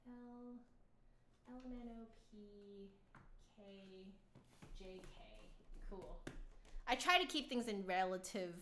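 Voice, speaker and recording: A woman's voice: mid-range voice, slow pace, pauses often, noisy background. A young adult woman chatting casually on a live stream, rambling with lots of fillers while answering viewers. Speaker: flutist streamer.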